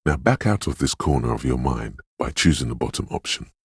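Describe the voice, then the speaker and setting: An actor playing a brooding, dreamlike inner voice of intuition in a video game, narrating slowly, solemnly and ominously in poetic second person. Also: male voice, a bass voice, expressive, husky, no echo, studio quality, exaggerated voice.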